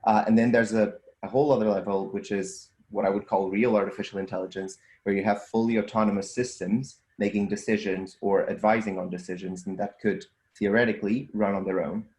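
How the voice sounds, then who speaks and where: A man's voice: on the low side, fairly even intonation, a little fast, hardly any pauses, darkish tone, reverberant. A young man from Portugal with a Portuguese accent, answering interview questions thoughtfully with frequent ums and restarts. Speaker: guest, data scientist and entrepreneur.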